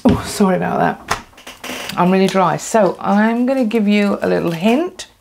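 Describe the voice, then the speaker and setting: Chatty English woman with a gravelly edge. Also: medium pitch, moderate pace, background noise. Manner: chatty and excitable, with many ums and restarts. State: dry throat. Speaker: planner vlogger. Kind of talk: casual unboxing chat. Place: indoors at a tabletop.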